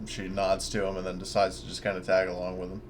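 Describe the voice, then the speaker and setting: A man's voice: deep voice, completely flat delivery, fast talker, a little hiss or hum. A man chatting casually as a player in a tabletop roleplaying game.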